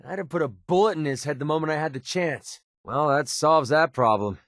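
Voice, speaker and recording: An actor playing a wry, cocky young mercenary in a video game, with a sardonic, casual, colloquial delivery. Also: male voice, fairly high pitch, brisk, no room sound, clean studio sound, close to an everyday voice. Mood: dry, impatient. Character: wry young male mercenary, cocky, wary, protective father. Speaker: video game companion character. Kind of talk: video game voice acting, casual banter lines.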